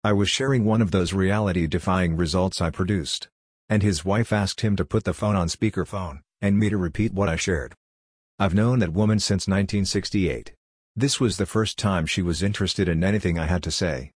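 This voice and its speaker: Husky low-voiced older Hungarian man. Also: normal speed, no room sound, studio quality. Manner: blunt, lecturing. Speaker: workshop leader. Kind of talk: scripted monologue.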